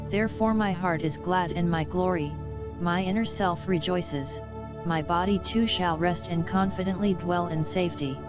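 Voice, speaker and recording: A low-pitched woman reads a scripted devotional and prayer. The sound is a little dull and lo-fi, and instrumental music plays clearly behind her voice. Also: normal speed. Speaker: devotional reader. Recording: dull lo-fi audio under music. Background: guitar music.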